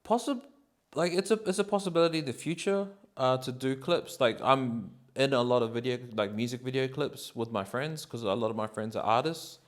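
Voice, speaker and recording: A man, answering interview questions in a relaxed, rambling way with many ums and likes. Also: medium pitch, a little fast. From New Zealand. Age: 30s.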